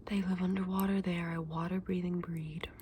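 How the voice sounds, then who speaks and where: A woman playing a kindly, chatty fantasy saleswoman in an ASMR roleplay, mixing breathy whispers with soft, gentle, unhurried speech. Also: fairly low pitch, flat delivery, slight background noise, natural voice. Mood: soft, informative. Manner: gentle, unhurried, hesitant, endearing customer address. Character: kindly, chatty saleswoman in a dragon egg shop.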